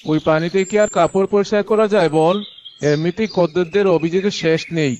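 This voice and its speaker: A man's voice: high-pitched, fairly quick, a little hiss or hum, close to an everyday voice. A man voicing a mild, chatty village washerman in a cartoon, given to small complaints. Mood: complaining. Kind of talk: cartoon character voice acting. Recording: dull audio, soft music, birdsong.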